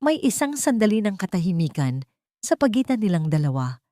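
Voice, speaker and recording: A woman reading a scripted love drama as a narrator, with emotive, deliberate phrasing. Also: mid-range voice, big pitch swings, warm tone, dry recording. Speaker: story narrator.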